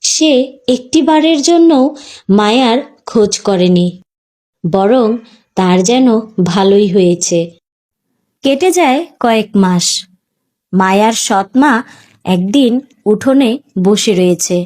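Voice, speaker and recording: Slow-paced woman storyteller. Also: medium pitch, expressive, warm tone. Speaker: story narrator. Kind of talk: scripted dramatic storytelling.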